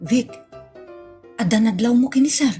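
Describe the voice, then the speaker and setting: A woman's voice: a little high, normal speed, over music, close to an everyday voice. A woman with an Ilocano Filipino accent playing an earnest employee pleading with a strict boss in a comedy audio drama. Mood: pleading, complaining.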